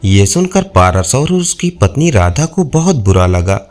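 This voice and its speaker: A man's voice: medium pitch, very animated, unhurried, a little mellow, soft background music. A man narrating a scripted audio folk tale as a storyteller.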